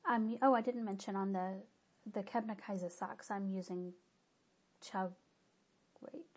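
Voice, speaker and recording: A woman's voice: mid-range voice, fairly even intonation, measured pace, a little mellow. A woman with a stuffy nose giving a casual, rambling update on her knitting projects, often stopping to correct herself. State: stuffy-nosed. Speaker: knitting podcaster.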